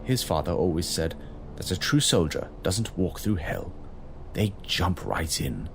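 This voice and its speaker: A man playing a grave, kindly fleet captain in an audio drama, speaking formally and in a measured, solemn way. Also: low-pitched, expressive, gravelly, a little hiss or hum, heavily acted. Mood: grave, encouraging.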